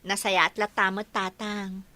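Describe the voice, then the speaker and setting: A woman's voice: mid-range voice, little pitch movement, unhurried, sharp tone. A woman playing a dutiful, caring young wife and mother in a radio drama, with a wistful streak. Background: music.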